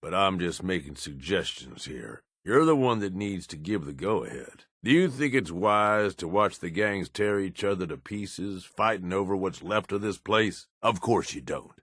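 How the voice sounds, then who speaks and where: An actor voicing a tough, cynical middle-aged American raider in a video game, gruff and folksy in a plain-spoken way. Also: male voice, deep voice, animated, measured pace, few pauses, a bit bright, no room sound, clean studio sound, heavily acted. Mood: measured. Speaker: companion character in a video game. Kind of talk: acted video game dialogue.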